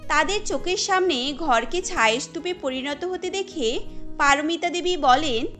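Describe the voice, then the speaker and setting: High-voiced woman narrating a cartoon. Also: unhurried, faint music behind. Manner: steady storytelling.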